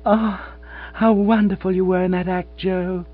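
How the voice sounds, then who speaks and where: A man voicing an aging former stage actress, a reserved grande dame who turns gushing and nostalgic, with a husky voice on a dull, humming recording. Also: on the low side, steady tone, measured pace, pitched above the performer's own voice. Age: older. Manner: quiet, emotional, gushing with nostalgia. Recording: dull lo-fi recording with mains hum.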